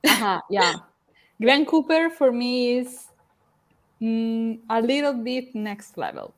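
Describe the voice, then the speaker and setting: A woman's voice: a little high, big pitch swings, slow, fairly bright tone. A woman with an Italian accent, a native Italian speaker chatting casually. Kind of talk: casual chat.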